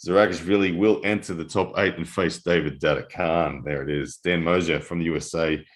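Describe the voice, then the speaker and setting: A man with a very low, deep voice calls a live arm-wrestling match, breaking down grip and technique between restarts. His voice is worn and hoarse from the early hour. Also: steady tone, brisk. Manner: analytical, with frequent restarts. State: losing his voice, early morning. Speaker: armwrestling commentator. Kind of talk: live sports commentary.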